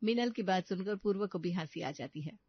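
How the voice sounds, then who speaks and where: A woman's voice: medium pitch, fairly quick, husky. A woman narrating a scripted story as a storyteller, close to the microphone. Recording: slightly dull, dry studio sound.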